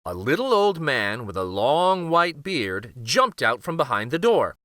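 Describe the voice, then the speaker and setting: A man's voice: medium pitch, very animated, a little slow, bright, forceful tone, no room sound, studio quality. A man hosting a children's read-aloud, narrating a picture book expressively.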